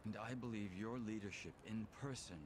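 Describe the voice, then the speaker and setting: A man voices a loyal lieutenant in a game scene, with a low pitch and a polite, reserved manner. His wording is formal and deferential, and noisy ambience sits behind him. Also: fairly quick, lightly acted. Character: formal, deferential, capable male right-hand man. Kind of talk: video game voice acting.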